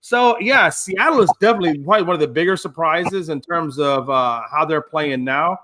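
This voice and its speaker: A man in his fifties with a high, bright, forceful voice, talking sports on a casual show. He teases his co-hosts and rambles, circling back with repeated words. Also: a little fast, a little hiss or hum. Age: 50s. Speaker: co-host.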